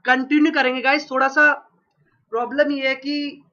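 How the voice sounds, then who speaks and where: A man's voice: extremely high pitch, level tone, moderate pace, bright, forceful tone. A man giving an exam-prep geography lecture with a sore throat from a cold, coughing now and then and drilling each point by asking and repeating the answer. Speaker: geography teacher. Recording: lo-fi dull recording.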